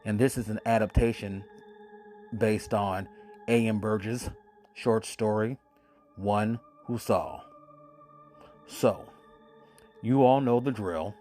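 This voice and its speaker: A man's voice: on the low side, steady tone, mellow, faint music behind. A man hosting a horror radio podcast, introducing episodes in an enthusiastic, emphatic way. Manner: enthusiastic, emphatic, drawn-out words. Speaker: podcast host.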